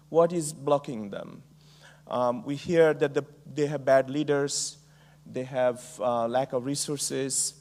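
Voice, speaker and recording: A middle-aged man from Bangladesh with a Bangladeshi accent, giving a conference keynote speech in a measured way with frequent ums and uhs. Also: medium pitch, a little mellow. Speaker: keynote speaker.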